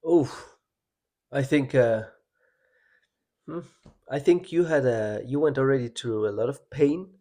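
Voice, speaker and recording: A man from Switzerland hosting a podcast, talking in a reflective, halting way with many restarts. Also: medium pitch, dark tone. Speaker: podcast host. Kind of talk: casual personal conversation.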